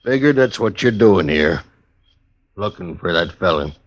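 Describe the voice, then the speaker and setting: A man's voice: deep voice, lively intonation, a little slow, no room sound. A man acting a guarded, troubled American frontier station keeper in an old-time radio western, speaking in a laconic rustic drawl. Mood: terse, wary. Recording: dull, dry old radio recording.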